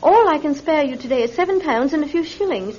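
High-voiced, proper British mother. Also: animated, fast, a little mellow. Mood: apologetic, worried. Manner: polite, genteel, firm with her child. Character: proper, anxious, hard-up mother with a secret gift. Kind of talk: classic radio drama acting. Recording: muffled radio recording.

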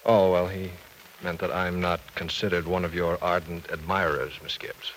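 An actor in a vintage radio drama playing an earnest, awkward professor, polite and formal but hesitant. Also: male voice, low-pitched, little pitch movement, moderate pace, a bit bright. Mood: courteous, diffident. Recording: noisy old radio show recording.

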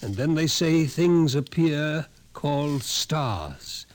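An actor playing an older psychologist in a radio drama, who speaks in a measured, lecturing and quietly ominous way. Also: male voice, a little high, animated. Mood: explaining. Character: older male psychologist, calm, knowing, ominous. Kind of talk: 1950s radio drama acting.

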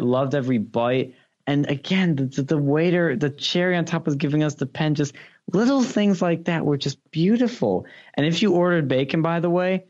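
Young adult male podcast guest, excitable raconteur, foul-mouthed and effusive, slightly muffled low-fidelity recording. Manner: gushing, profane, repeats superlatives. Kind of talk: casual enthusiastic anecdote-telling.

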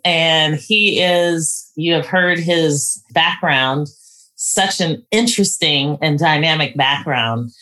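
A woman's voice: sits low, fairly bright tone. A woman hosting an interview podcast, introducing the show and putting questions to a guest. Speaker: podcast host.

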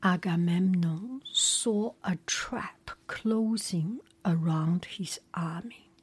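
A woman, not a native English speaker, whispering a relaxed chat and reading a story aloud with many hesitations. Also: on the low side, takes it slowly, husky.

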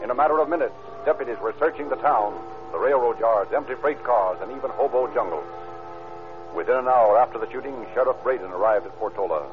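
An actor narrating a crime story in a serious, emphatic 1940s radio style. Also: male voice, medium pitch, fast talker, close to an everyday voice. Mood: grave, dramatic. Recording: tinny old radio recording. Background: dramatic music.

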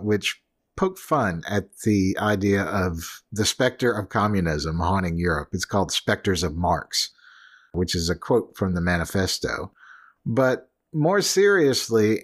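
A man co-hosting a podcast in a casual chat, with dry, sardonic asides and hedging remarks. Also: lowish voice. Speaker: podcast co-host.

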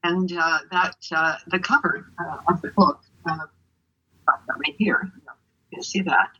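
A woman's voice: lowish voice, unhurried, pushed and bright, breathy edge, highs cut off. A woman from Canada, an author, chatting in an interview over a video call, hesitant with many fillers. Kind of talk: casual interview.

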